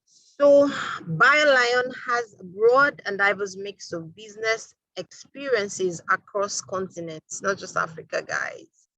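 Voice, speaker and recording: Bright lively woman hosting. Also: mid-range voice, slow, dry recording, low-quality recording. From Nigeria. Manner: upbeat, lively hosting with fillers. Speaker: host. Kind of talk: live online interview.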